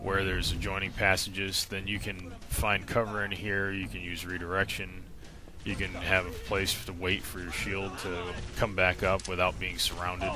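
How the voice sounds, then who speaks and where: A man giving casual, plain, instructional video game walkthrough commentary in an informal way. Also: sits low. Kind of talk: casual explanatory gameplay commentary. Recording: voice-over with clear game noise behind.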